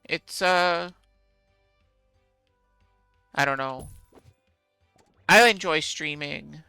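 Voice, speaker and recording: Creaky, bright-voiced man chatting while gaming. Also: a little high, very slow, no echo, clean studio sound, soft background music. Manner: musing, self-deprecating, answers chat. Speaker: streamer playing a game. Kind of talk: casual gameplay commentary, chatting with viewers.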